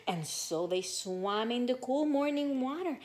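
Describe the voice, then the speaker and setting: Woman teacher, storybook read-aloud, highish voice, very varied intonation, questioning asides, faint background noise. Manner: expressive, questioning, pausing to think aloud.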